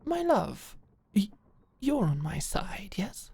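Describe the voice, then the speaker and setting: Medieval roleplay lady knight, proud guard-dog lover, theatrical, unhurried and lively in pitch female performer. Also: creaky, slightly rough, heavily acted. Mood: hurt, submissive. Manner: sardonic, theatrical. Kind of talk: dramatic ASMR roleplay acting.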